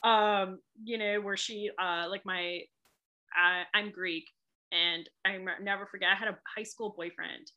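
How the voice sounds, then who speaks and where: Bright-voiced chatty woman from New England. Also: mid-range voice, steady tone, unhurried, lo-fi sound. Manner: chatty, laughs often, lots of fillers. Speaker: author guest. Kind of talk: casual chat.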